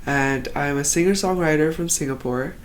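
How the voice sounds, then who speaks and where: A man's voice: on the high side, moderate pace, a little hiss or hum. A young man from Hong Kong, a singer-songwriter, talking casually in a documentary interview with lots of "like" fillers. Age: young adult. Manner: casual, relaxed, heavy use of "like" fillers.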